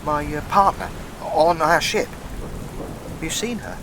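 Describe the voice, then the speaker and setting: A man acting a hapless castaway spacer in a sci-fi audio play. His voice sits fairly high for a man, and he talks in a hesitant, wheedling plea. Loud rain and storm noise surrounds him. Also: sing-song, slow. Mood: shaken. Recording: noisy rain and storm effects throughout.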